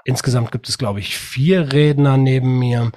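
A man hosting a podcast, talking in an upbeat, animated casual way while he has a cold. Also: mid-range voice, moderate pace. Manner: upbeat, animated, says "geil" and "Alter". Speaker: podcast host.

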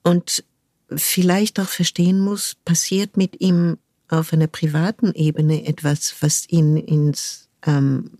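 An older woman from France, speaking thoughtfully as an interview guest and pausing often to search for the right word. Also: low-pitched, little pitch movement, warm tone, no room sound, clean studio sound. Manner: thoughtful, searching for words with frequent pauses. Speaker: guest, cultural manager. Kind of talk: reflective conversational interview.

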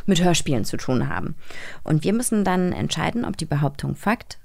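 A woman's voice: sits low, sing-song, fairly quick, creaky, darkish tone, no room sound. A woman co-hosting a podcast in casual, informal conversation. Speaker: podcast co-host. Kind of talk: casual chat, game moderation.

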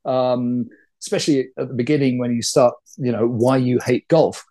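A man co-hosting an interview, speaking in a measured, hesitant way with many fillers. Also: mid-range voice, steady tone, mellow. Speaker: co-host. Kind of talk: casual interview chat.

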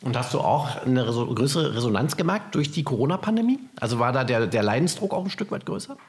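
Male host, medium-pitched voice, conversational interviewer who comments and asks questions. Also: expressive, quick pace.

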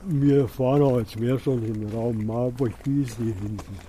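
A middle-aged or older man from rural Hesse answers casually and haltingly, a plain-spoken local breaking off and restarting his sentences. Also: mid-range voice. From rural Hesse, Germany. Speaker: local resident being interviewed. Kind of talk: casual spontaneous interview answers. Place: outdoors in a quiet rural village. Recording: outdoor interview with faint ambient noise.

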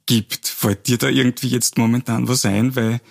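A man's voice: medium pitch, little pitch movement, moderate pace, creaky. A man hosting a casual interview, asking questions and searching for words as he goes. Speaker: podcast host.

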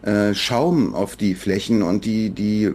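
A man from Berlin, a children's book author, talking reflectively in a relaxed podcast interview, with many fillers and restarts. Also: lowish voice, takes it slowly. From Berlin, Germany.

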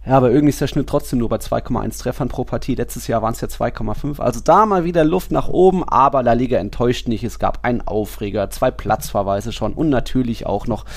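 Guy co-hosting a sports podcast, medium pitch, chatty and a bit teasing. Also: fast talker, few pauses. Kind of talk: casual podcast chat.

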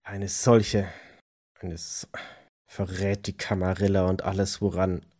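A man playing an embittered, agitated vampire in an audio drama, emotional and sometimes halting. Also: sits low, level tone, dark tone. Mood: bitter. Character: embittered, agitated male vampire hunting anarchs. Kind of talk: German audio drama role-play acting.

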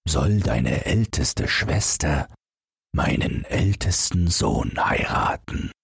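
Man reading as a deep, stately storybook king. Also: expressive, takes it slowly, gravelly, breathy edge, dry recording, studio quality, heavily acted. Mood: measured approval. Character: old-world king, approving and commanding, offering a bargain.

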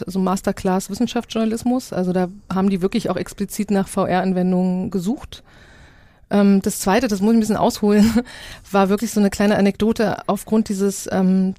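Female interview guest, mid-pitched, dry studio sound, casual anecdotal delivery. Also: dark tone, no room sound. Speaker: radio science editor, interview guest.

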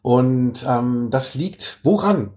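A man's voice: mid-range voice, expressive, slow pace, a little mellow. A man chatting casually as a podcast interviewer, in a wry, rambling way. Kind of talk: casual chat. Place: hotel room.

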